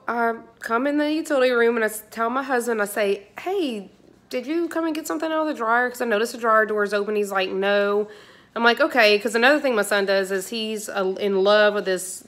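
A woman's voice: mid-range voice, a bit bright. A woman, telling a home mishap story to a phone camera in her kitchen, exasperated and chatty. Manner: exasperated, chatty, addresses viewers directly. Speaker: vlogger, mother. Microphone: phone at arm's length.

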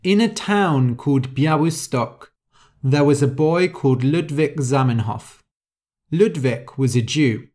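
A podcast host reads an easy story for learners at a very unhurried pace, leaving room after each phrase. The voice sits at an ordinary middle pitch and stays clear and careful. New words get explained, and some are spelled out letter by letter. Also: darkish tone. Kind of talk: slow, clear story reading for English learners.

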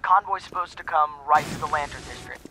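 A man's voice: a little high, steady tone, a little fast, background noise, close to an everyday voice. A man playing a nervous, nerdy young fan and tech tinkerer in a video game, hesitant and stammering, with an American accent. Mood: eager, nervous. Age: young adult. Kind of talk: video game voice acting, phone conversation.

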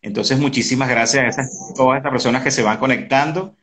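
Man, fifties, mid-pitched, interview host, halting, casual, video call. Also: fairly even intonation, fairly bright tone, slight background noise. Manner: halting, repeats words. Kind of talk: casual conversational online interview. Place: indoor room at home. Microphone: video call, device close in front.

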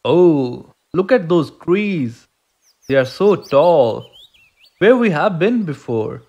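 Greedy young woodcutter, mellow man acting. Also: on the high side, sing-song, slow, exaggerated voice. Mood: eager. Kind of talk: animated moral story dialogue.

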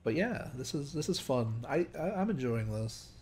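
Male let's player, mid pitch, lively and sweary, casual, soft game music behind. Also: normal speed. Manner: joking, sweary. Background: faint game music.

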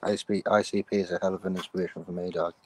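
A young rapper from the United Kingdom answering interview questions over a video call, mumbling with lots of "you know what I'm saying". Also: male voice, deep voice, flat delivery, rapid-fire. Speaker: rapper guest.

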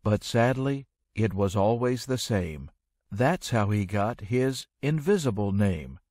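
Slow, animated male storybook narrator. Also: lowish voice, no echo, clean studio sound. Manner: expressive, measured storytelling. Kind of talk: rhyming children's read-aloud narration.

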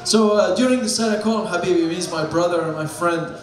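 A man's voice: sits high, brisk. Young male comic in an echoey bar. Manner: deadpan dark jokes, chats with the crowd. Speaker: stand-up comedian. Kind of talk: stand-up set. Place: small bar stage with a live crowd. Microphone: handheld stage mic. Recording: echoey room with loud crowd.

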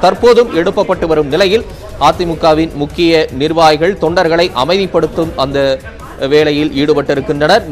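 A man with a fairly high voice narrates live breaking news as an anchor. He keeps a steady, formal delivery as he talks over footage from the scene.

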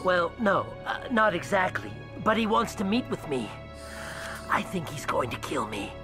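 Animated, paranoid alien worrier. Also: male voice, high voice, moderate pace, music in the background. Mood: anxious. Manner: hesitant, anxious, insistent. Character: nervous, paranoid alien complainant pleading for help. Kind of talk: video game character dialogue.

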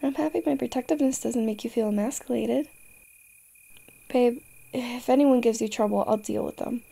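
A woman's voice: on the high side, normal speed, creaky, warm tone, husky. A woman playing a tough, fiercely protective young girlfriend in an audio roleplay, speaking directly to the listener. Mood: tender, protective.

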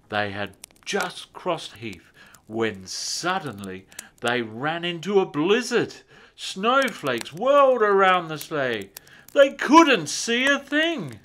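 A man reading a children's story as narrator, in a measured, expressive way. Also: mid-range voice, a little hiss or hum.

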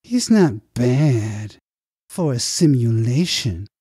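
A man voicing a manipulative, villainous male director in an audiobook, condescending and coaxing, with drawn-out phrasing. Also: mid-range voice, very animated, warm tone, breathy edge, no echo, clean studio sound, full character voice. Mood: dismissive.

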